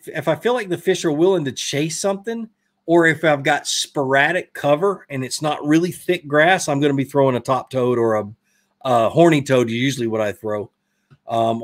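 A man in his thirties with a Southern US accent, hosting a fishing live stream and casually answering viewer questions in a rambling, self-correcting way. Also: on the high side. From Georgia, US. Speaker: fishing channel host. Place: indoor studio room, brick wall. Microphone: desk mic in front.

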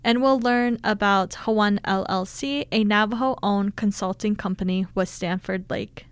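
A woman's voice: medium pitch, fairly even intonation, unhurried, clean tone, no room sound. A woman speaking as a radio show host, interviewing a guest in a studio.

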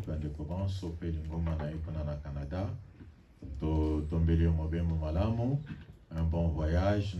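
A man's voice: extremely low pitch, mellow, lo-fi sound. A man giving formal church announcements and leading call-and-response during a service. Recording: distant mic with faint noise.

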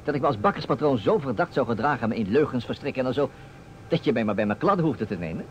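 A man's voice: mid-range voice, fast talker, lo-fi sound, natural voice. A man acting a playful, argumentative storyteller who steers a detective game in a Dutch radio play. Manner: animated, theatrical. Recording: old radio recording, faint noise.

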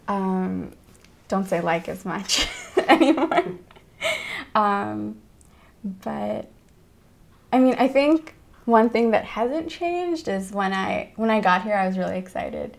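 A woman's voice: mid-range voice, expressive, slow pace, gravelly, pauses often. A young woman, a graduating student, answering interview questions in an upbeat, chatty way. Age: young adult.

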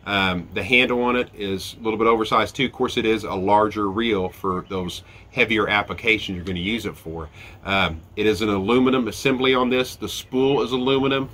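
A man's voice: fairly low pitch, fairly quick, fairly bright tone. A man in his forties, stuffy and nasal from a head cold, reviewing fishing gear in a chatty step-by-step way, recorded close on an outdoor deck. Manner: chatty, explains step by step with fillers. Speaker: fishing channel host. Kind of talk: casual product review.

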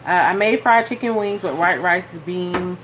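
A woman's voice: lowish voice, moderate pace. Young woman chatting over a pot on the stove, phone mic, kitchen noise all around. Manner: chatty, reads viewer comments and thanks them. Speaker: cooking channel host. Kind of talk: live-stream cooking chat. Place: home kitchen. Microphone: phone camera held over the stove. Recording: phone audio with background noise.

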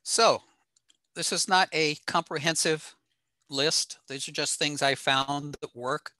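Older male presenter, informal technical webinar, matter-of-fact delivery, bright tone, dry close sound. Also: on the high side, slow, no room sound. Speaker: presenter at an amateur radio talk. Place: small room at home, on a video call.

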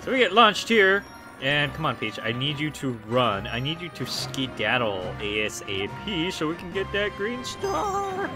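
Hoarse young male let's player, animated and silly, game music in the mix. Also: on the high side, unhurried, poor audio. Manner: goofy, excitable, lots of ums and likes. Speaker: let's play gamer. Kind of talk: casual gameplay commentary.

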